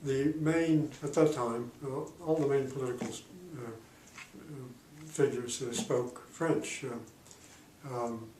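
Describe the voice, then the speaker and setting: Halting older man in a roomy room. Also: medium pitch, darkish tone. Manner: halting, many pauses and fillers. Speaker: retired diplomat interviewee. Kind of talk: oral history interview. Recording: roomy, distant mic, faint noise.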